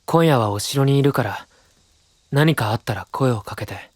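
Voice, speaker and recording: A man playing a sincere, patient young knight leader, speaking gently and plainly with a husky voice in an anime audio drama. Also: mid-range voice, moderate pace, no room sound, lightly acted. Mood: calm. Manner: gentle, earnest, plain-spoken.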